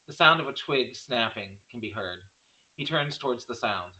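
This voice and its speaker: A man's voice: medium pitch, animated, normal speed, sharp tone, poor audio. A man reading out screenplay stage directions as a narrator.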